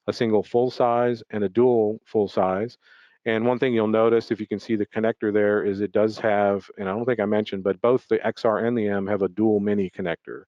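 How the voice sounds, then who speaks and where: A man with a fairly low voice walks through a product presentation in an explanatory, off-the-cuff way. He often pauses on ums and repeats small words. The sound is dry and close, with a dull, lo-fi webinar quality. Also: a little mellow, no room sound. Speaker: product presenter for an audio equipment maker.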